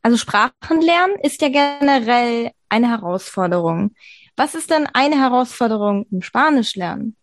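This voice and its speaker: Higher-voiced woman hosting a dry-sounding interview. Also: expressive, normal speed, no room sound. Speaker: interviewer, podcast host. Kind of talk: conversational podcast interview.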